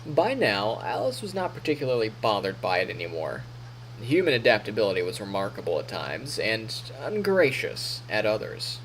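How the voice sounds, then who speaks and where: A man's voice: fairly low pitch, wildly swooping pitch, moderate pace, fairly bright tone, slight background noise, low-quality recording. A man live streaming, reading story narration aloud in a playful, theatrical way with casual asides.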